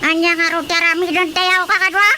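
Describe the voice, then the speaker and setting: A woman's voice: extremely high pitch, little pitch movement, a little fast, background noise. A woman playing a stern talking sea creature that gives solemn warnings in a radio drama. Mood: stern, plotting.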